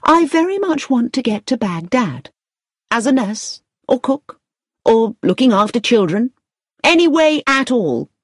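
Woman performing an eager, animated young woman. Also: a little high, a little slow, husky, dry recording, studio quality, voice pushed up, exaggerated voice. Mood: eager, pleading. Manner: pleading, excitable, emphatic. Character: eager, determined young woman job-seeker.

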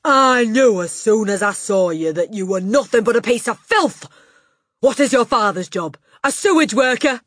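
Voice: medium pitch, lively intonation, measured pace, fairly bright tone, no echo, studio quality, voice pushed up. A storybook narrator voicing a gigantic, tyrannical headmistress who barks and sneers insults with contempt. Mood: enraged. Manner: barking, bellowing, sneering insults.

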